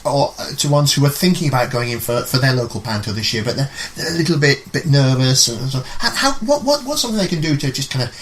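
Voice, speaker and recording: A man hosting a casual radio interview, chiming in with agreement and asking halting questions. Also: mid-range voice, animated, fairly bright tone, slight background noise. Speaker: radio show host.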